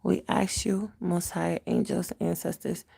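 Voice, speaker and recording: Raspy, gravelly-voiced woman medium. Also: on the low side, fairly even intonation, measured pace, warm tone. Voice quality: raspy, cracking voice. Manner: earnest, prayerful, repeats invocations. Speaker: spiritual medium. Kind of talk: livestream spiritual reading and prayer.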